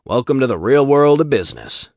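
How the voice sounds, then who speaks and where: A man's voice: mid-range voice, steady tone, a little fast, smooth, no echo, clean studio sound, voice pushed up, natural voice. A man voicing a smug, sneering crooked male racketeer.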